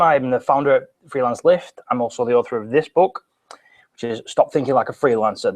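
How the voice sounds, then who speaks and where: A young man with a British accent hosts a live online session from a small bright office. He talks energetically and gestures with his hands, often restarting his phrases mid-sentence as he goes. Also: medium pitch. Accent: British English. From Britain. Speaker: webinar host. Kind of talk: live webinar presentation.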